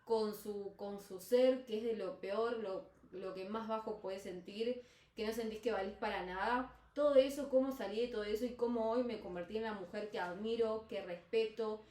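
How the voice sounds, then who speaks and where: A woman's voice: medium pitch, moderate pace, darkish tone, roomy sound, distant mic. A young woman from Argentina with a Buenos Aires accent, speaking candidly in a rambling confessional vlog with many restarts. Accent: Buenos Aires Spanish. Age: young adult. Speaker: vlogger. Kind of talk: personal confessional monologue.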